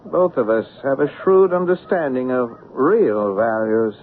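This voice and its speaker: An actor playing an imperious, cynical colonial company director with a pompous, condescending manner in a 1970s radio drama. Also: male voice, a little high, phone-quality sound. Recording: thin, faintly noisy old radio broadcast.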